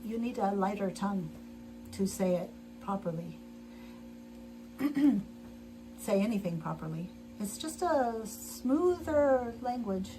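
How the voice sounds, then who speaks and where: A woman's voice: medium pitch, lively intonation, slow, pauses often, dark tone, a little hiss or hum, poor audio. A middle-aged woman chatting casually as she sews on a livestream, rambling with frequent restarts and laughs, in her sewing room at home. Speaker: livestream host. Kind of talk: casual chat while sewing.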